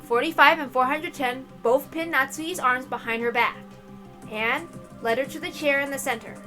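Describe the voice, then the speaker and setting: Woman narrator, fairly high voice, expressive story reading, casual asides, faint background music. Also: moderate pace. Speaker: narrator and reader of a visual novel.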